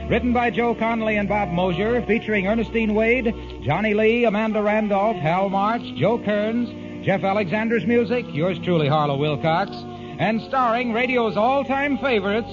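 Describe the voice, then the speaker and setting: A man's voice: high voice, moderate pace. A man announcing a radio show in a formal vintage style. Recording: muffled old radio recording. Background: music.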